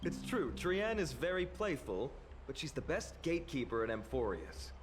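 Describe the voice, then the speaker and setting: A man's voice: fairly high pitch, sing-song, moderate pace, noisy background. A man voicing a cheerful young warrior in a video game, easygoing and teasing. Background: game music.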